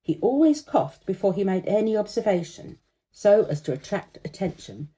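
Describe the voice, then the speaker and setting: A woman reads a children's story with expressive narration. Her tone is very soft and mellow, and her pitch moves in lively sweeps. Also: normal speed, low-quality recording.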